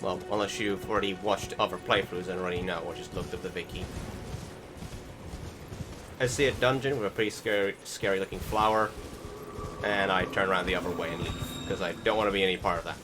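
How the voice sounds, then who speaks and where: Low-voiced man commenting on gameplay over music. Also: fairly even intonation, fairly quick. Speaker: gaming video commentator. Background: music behind the voice.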